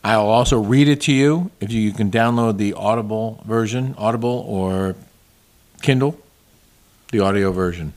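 A man's voice: lowish voice, normal speed, darkish tone. A middle-aged man hosting a casual chat show, plugging his channel and reading out viewer comments, laughing often. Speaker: show host.

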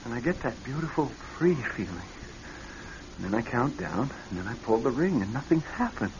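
An actor playing a haunted, edgy husband in a radio drama, troubled and strained, swinging from subdued to snappish. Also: male voice, medium pitch, big pitch swings, normal speed, natural voice. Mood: weary, haunted. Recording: muffled, hissy old radio recording.

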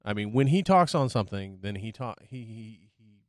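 A man's voice: lowish voice, animated, normal speed, dry recording, studio quality. A man reflecting in casual chat, trailing off often and swearing now and then.

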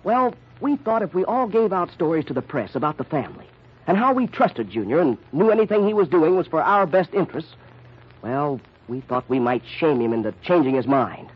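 An actor playing a nervous, indignant young son of a wealthy family in an old-time radio mystery, protesting in an agitated way. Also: male voice, on the high side, very animated, fairly quick, slightly rough, lo-fi sound. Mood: earnest.

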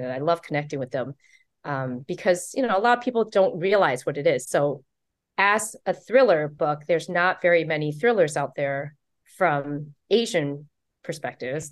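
A middle-aged woman from Taiwan, an author being interviewed, chatting casually and acting out the quotes in her stories with excitement. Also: low voice. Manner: animated, excited storyteller who acts out quotes. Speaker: author and guest.

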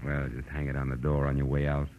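An actor playing a wry, world-weary private detective in a vintage American radio drama, clipped and slangy. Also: male voice, a bass voice, monotone, fast talker, phone-quality sound, slight background noise. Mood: tired, wry. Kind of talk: 1940s radio drama acting, first-person detective narration.

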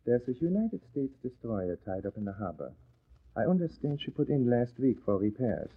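A man's voice: medium pitch, very animated, normal speed, slightly rough. A man playing a menacing wartime German spy ringleader in a 1940s radio drama, formal, clipped and imperious, cold and mocking. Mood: menacing, gloating. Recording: muffled, narrow-band old radio recording.

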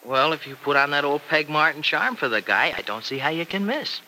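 A man's voice: a little high, lively intonation, fairly quick, bright, forceful tone, heavily acted, low-quality recording. An American actor playing an earnest, teasing young farm man in a mid-century radio drama, with folksy, slangy speech. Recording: old radio recording with faint hiss.

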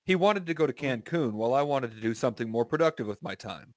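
A man's voice: mid-range voice, expressive, fast, darkish tone, no echo, studio quality. A man reading aloud as a podcast co-host, informal and profane, breaking into casual banter.

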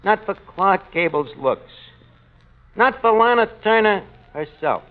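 A man playing a brash, loudmouthed tavern manager in a 1940s radio comedy, quick to blow up. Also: high voice, animated, noisy background. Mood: sentimental. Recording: tinny old radio broadcast.

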